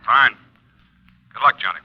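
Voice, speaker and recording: An actor playing a brisk, businesslike insurance company man in a 1950s radio drama, terse and matter-of-fact. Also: loud music behind the voice, close to an everyday voice. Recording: dull lo-fi old radio recording.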